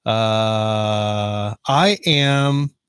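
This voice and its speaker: A man's voice: fairly low pitch, extremely slow, smooth, dry recording, studio quality. A man in his fifties hosting a casual live stream, chatting and reading out viewer comments. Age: 50s. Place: van in the rain.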